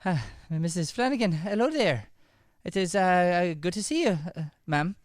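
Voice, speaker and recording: A man's voice: high voice, expressive, moderate pace, no room sound, clean studio sound. A man playing a flustered, scolding Irish schoolteacher in improvised radio comedy, repeating himself and tripping over his words. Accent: Irish, put on. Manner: stammering, repetitive, exasperated. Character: flustered, scolding male schoolteacher, defensive and obsequious.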